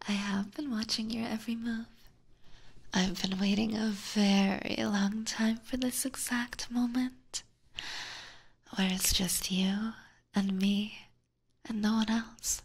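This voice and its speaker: A slow, breathy whisper from a woman playing a seductive vampire catgirl. She is possessive and sweetly menacing, teasing her listener at very close range in an ASMR roleplay. There is a soft, gravelly creak in her delivery. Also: mid-range voice, heavily acted. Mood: possessive, obsessed. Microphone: close binaural microphone.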